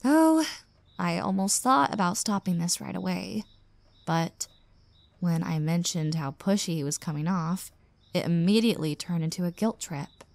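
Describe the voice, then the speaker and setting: Lady acting as fed up young single woman in an audio roleplay, venting with sarcastic asides, lots of vocal fry, really dry sound. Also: lowish voice, expressive, normal speed, no room sound. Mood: bitter, venting. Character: exasperated single young woman rescued from a bad date. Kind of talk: roleplay audio drama, intimate conversational monologue.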